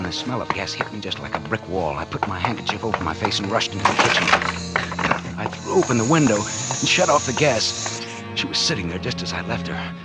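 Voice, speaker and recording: A nervous American murderer in a vintage 1940s radio thriller. The voice is on the low side and swings expressively as he stammers through his guilt. Prominent dramatic music plays behind him on the old recording. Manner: jittery, stammering, hesitant. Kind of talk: 1940s radio drama acting, first-person narration.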